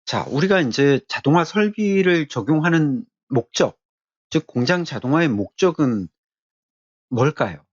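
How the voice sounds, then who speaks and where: A man giving an explanatory technical lecture as an instructor, speaking haltingly and repeating his key phrases. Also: fairly high pitch, expressive, no echo, dull audio.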